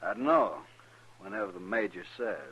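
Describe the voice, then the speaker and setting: An actor playing a surly, guarded wagon driver in a 1950s radio western, curt and suspicious. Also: male voice, lowish voice, normal speed, natural voice. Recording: dull, noisy vintage radio recording.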